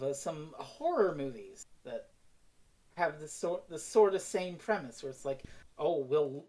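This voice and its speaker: A woman reviewing in casual discussion, rambling and excitable, slipping into mock quotes. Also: low-pitched. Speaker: podcast reviewer.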